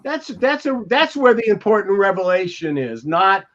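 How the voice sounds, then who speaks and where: An older American man on a podcast, telling anecdotes and reading from a memoir in a halting, reflective way. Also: high-pitched, big pitch swings, fairly bright tone. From Beverly Hills, California. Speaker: podcast co-host. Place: indoors at home, on a video call. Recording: dull lo-fi video call audio.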